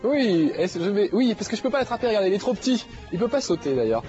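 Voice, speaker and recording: A man's voice: sits high, big pitch swings, fast talker, mellow, highs cut off, faint music behind. A man hosting a game show, talking casually over gameplay with a slight nasal head cold and lots of fillers. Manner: chatty, jokey, many fillers.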